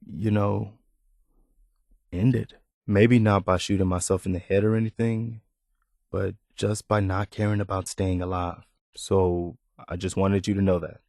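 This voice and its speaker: An actor playing an earnest, weary militia soldier in a video game, loyal and humble, with a sincere, plain-spoken manner. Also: male voice, low voice, vocal fry, darkish tone, no room sound, studio quality. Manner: sincere, plain-spoken, hesitant when opening up. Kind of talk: video game character dialogue.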